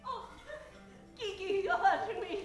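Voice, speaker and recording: An actress playing a sorrowful, overwrought young heiress in a comic old-time radio melodrama. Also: female voice, very high-pitched, unhurried, faint music behind. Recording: very echoey stage recording.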